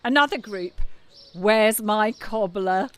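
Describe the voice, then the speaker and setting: A woman's voice: medium pitch, slow pace, noisy background, natural voice. An actress playing a bold, flirtatious, self-assured French woman cabaret singer in an audio drama. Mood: bright, commanding. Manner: coquettish, self-assured, theatrical.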